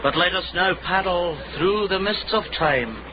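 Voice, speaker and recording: An actor playing a genial, self-important tavern poet with a Scottish accent, theatrical in a radio drama. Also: male voice, high-pitched, lively intonation, measured pace. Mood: grand, reciting. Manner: theatrical, rhyming recitation and banter. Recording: muffled, noisy background ambience.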